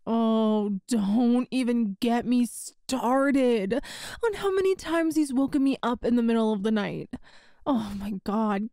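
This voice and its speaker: A woman's voice: sits high, darkish tone, no room sound, clean studio sound. A woman playing a friend's chatty, teasing older sister in a casual, giggly roleplay audio. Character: best friend's older sister, playful, teasing, secretly smitten. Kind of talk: roleplay audio acting, one side of a conversation.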